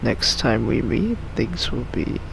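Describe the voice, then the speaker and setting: Man doing a slow, creaky, ominous doomsayer. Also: medium pitch, sing-song, background noise, heavily acted. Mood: ominous, taunting. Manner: probing, menacing, drawn-out questions. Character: ominous, smug prophet of a collapsing world. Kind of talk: amateur game character voicing. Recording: music under the voice.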